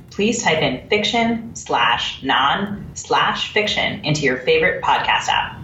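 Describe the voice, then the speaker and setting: A woman hosting a conversational literary podcast in a chatty manner, thinking aloud as she goes. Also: fairly bright tone. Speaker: podcast host. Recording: roomy, distant mic, faint rumbling noise.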